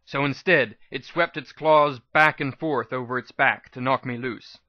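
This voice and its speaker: A man's voice: medium pitch, a little slow, dry recording, muffled recording. A man reading a scripted first-person story aloud as a dramatic audiobook narration. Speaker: audiobook reader.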